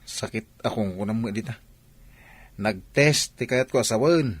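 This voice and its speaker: A man's voice: on the low side, moderate pace, no echo, natural voice. A man acting an exasperated, chiding village cousin in an Ilocano Filipino radio comedy drama, teasing as he scolds.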